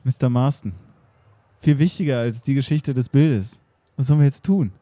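Ein Mann spielt einen jungen, frechen Kurator. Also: male voice, mid-range voice, moderate pace, warm tone, close to an everyday voice. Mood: teasing. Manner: eager, teasing, giggly. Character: young wiry male museum curator.

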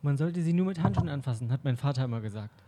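A man's voice: medium pitch, animated, racing, mellow, no echo, natural voice. A man playing a young detective's assistant, dutiful but cheeky, in an improvised audio drama. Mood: matter-of-fact.

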